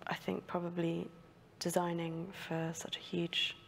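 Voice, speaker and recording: Woman panel guest, low monotone, gravelly, hesitant, echoey studio. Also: measured pace, slight background noise. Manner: thinks aloud, hesitant, many fillers. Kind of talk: panel discussion.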